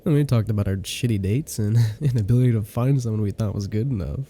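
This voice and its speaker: A man playing a low, mellow, teasing boyfriend. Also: a little hiss or hum, close to an everyday voice. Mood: fond, reflective. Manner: soft, playful, drawn-out, chuckling delivery. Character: affectionate, teasing new boyfriend cuddling his girlfriend. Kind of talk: intimate ASMR boyfriend roleplay.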